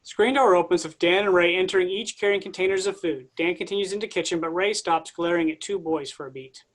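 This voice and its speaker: A reader giving the stage directions of a play in a plain, even way. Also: mid-range voice, a little fast. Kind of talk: reading stage directions aloud.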